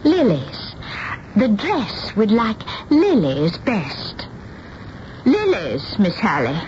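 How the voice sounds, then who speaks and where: An actress playing a fussy, doting older seamstress in a radio drama, halting and repeating her words. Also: mid-range voice, wildly swooping pitch, darkish tone, exaggerated voice. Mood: dreamy, pleading. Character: fluttery, eccentric, doting older spinster dressmaker. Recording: dull, slightly noisy 1970s radio recording.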